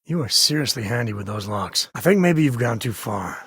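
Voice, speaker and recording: A rugged, folksy spacefaring cowboy for a video game. His American voice is heavily husky and sits at a medium pitch, with a wry, protective fatherly manner. The sound is dry, with no room on it. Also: animated, a little fast, fairly bright tone, dry recording, heavily acted. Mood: wry, easygoing. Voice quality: husky, rasping. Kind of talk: video game character dialogue.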